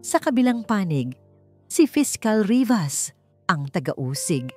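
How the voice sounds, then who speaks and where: A woman with a Filipino accent narrating a dramatic story as an audiobook, in a measured, suspenseful way. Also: medium pitch, very animated, a little mellow. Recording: voice over background music.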